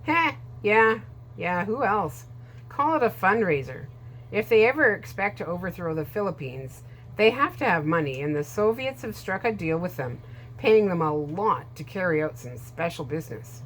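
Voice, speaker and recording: A woman's voice: lowish voice, very animated, moderate pace, a bit bright, slight background noise, rough recording. A woman voicing a frightened male boatman in a storybook reading, speaking in a stammering, nervous way.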